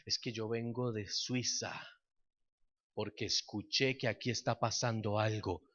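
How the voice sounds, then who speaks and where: A man preaching a fervent sermon that turns into a declared prayer, repeating words as he builds to loud peaks. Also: on the low side, steady tone, measured pace, highs cut off. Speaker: pastor.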